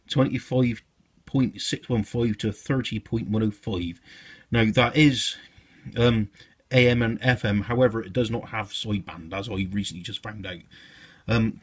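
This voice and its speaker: A man's voice: on the low side, slightly rough. A man giving an informal, unscripted product walkthrough in a hesitant, explanatory way, like a hobbyist presenter.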